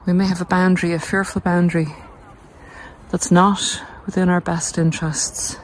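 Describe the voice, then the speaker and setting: Low-pitched Irish woman talking outdoors. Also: flat delivery, normal speed. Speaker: podcast host and narcissism recovery coach. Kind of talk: casual walk-and-talk monologue. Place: outdoors on a coastal cliff walk. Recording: noisy outdoor handheld recording.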